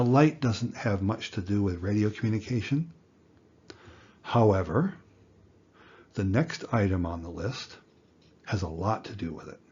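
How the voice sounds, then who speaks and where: A man in his sixties giving an educational lecture in plain words, with a deliberate, folksy manner. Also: on the low side, darkish tone, husky, muffled recording. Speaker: instructor.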